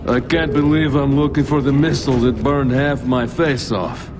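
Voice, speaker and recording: An actor playing an embittered, defiant, battle-scarred private in a video game. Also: male voice, mid-range voice, steady tone, normal speed, background noise, natural voice. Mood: bitter. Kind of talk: video game cutscene acting.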